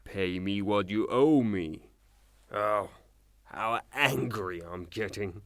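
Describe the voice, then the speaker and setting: A man's voice: lowish voice, fairly bright tone, no echo. Guy acting a rude mocking debt collector type, audio play, takes his time, clean resonant voice. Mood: stubborn, insistent. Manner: mocking, brusque, deliberate. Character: blunt, hot-tempered, scornful male creditor. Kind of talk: theatrical audio drama acting.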